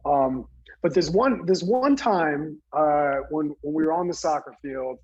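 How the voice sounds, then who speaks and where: A man from New Jersey earnestly recounting a personal story in conversation, halting, with many fillers and restarts. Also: a little high, a little mellow, slightly rough, dull audio. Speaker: guest speaker.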